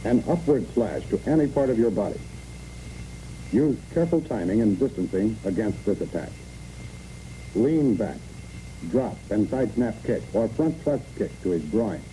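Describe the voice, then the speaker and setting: A man's voice: fairly low pitch, sing-song, moderate pace, mellow, a little hiss or hum. A man reads scripted instructional narration for a training film in clipped, imperative phrases, on a muffled old film recording.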